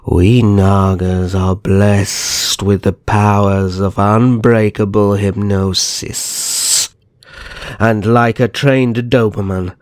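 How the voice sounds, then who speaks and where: A man's voice: on the low side, a little mellow, no room sound, studio quality. A man playing a haughty, calculating vampire naga kidnapper in an ASMR roleplay, speaking in a formal, disdainful, theatrical way. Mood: smug.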